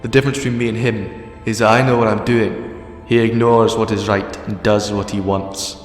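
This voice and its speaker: Wry, resolute captive time-traveller hero for audio drama male actor, low register, cavernous reverb. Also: flat delivery, moderate pace, natural voice. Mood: reflective. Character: defiant, imprisoned adult male time-travelling alien hero. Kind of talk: fan audio drama acting. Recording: echoey, long reverb, music underneath.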